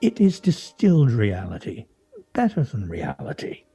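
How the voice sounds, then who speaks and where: A man's voice: medium pitch, huge pitch swings, normal speed, mellow, husky, dry recording, soft background music, heavily acted. A man playing a wry, theatrical husband in a comic radio play, speaking in a pompous, mock-formal way. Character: wry, theatrical, teasing husband with grandiose fantasies. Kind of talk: stage radio play comedy acting.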